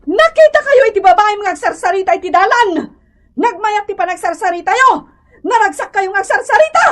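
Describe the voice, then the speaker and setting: Shrill, jealous nagging wife. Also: female voice, lively intonation, brisk. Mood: tearful rage. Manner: scolding, accusatory, emotional. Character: shrill, jealous, nagging wife who accuses her husband. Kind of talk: Ilocano comedy radio drama acting.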